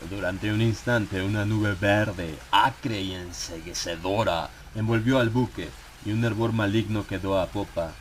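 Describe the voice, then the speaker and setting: A man narrating a dramatized horror audiobook in a grave, theatrical manner with dramatic pauses. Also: on the low side, slight background noise. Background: background music.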